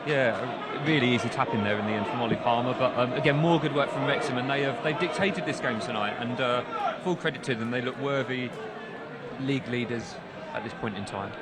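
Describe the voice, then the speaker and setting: A man's voice: medium pitch, a little fast. A man working as co-commentator, giving analysis of replays and the state of a football match. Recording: loud crowd noise behind commentary. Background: stadium crowd.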